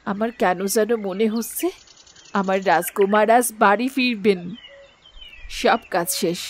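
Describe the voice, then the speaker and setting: A woman's voice: mid-range voice, unhurried, lightly acted. A woman voicing a devoted village mother in a cartoon, wistfully talking to herself. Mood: hopeful.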